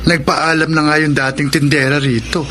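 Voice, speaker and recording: Guy doing a chatty young friend, kinda high voice, hissy radio recording. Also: little pitch movement, moderate pace, close to an everyday voice. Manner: chatty, scolding. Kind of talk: radio drama acting. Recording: radio drama with clear hiss.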